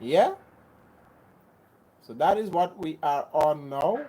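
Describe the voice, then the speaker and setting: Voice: medium pitch, expressive, slow, warm tone, rough recording. A livestream host from Grenada, talking earnestly to viewers. Often repeats and restarts phrases and speaks in an insistent, preachy way. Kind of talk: casual livestream chat answering viewers.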